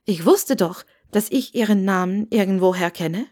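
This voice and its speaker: A woman's voice: mid-range voice, normal speed, darkish tone, natural voice. A woman voicing a talkative, inquisitive female innkeeper who spooks easily, in an audiobook story. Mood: chatty.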